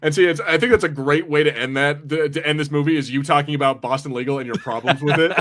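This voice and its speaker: A man's voice: fairly high pitch, steady tone, fast talker, fairly bright tone. A man hosting a film podcast in casual banter, joking and swearing freely in an informal way. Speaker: podcast host.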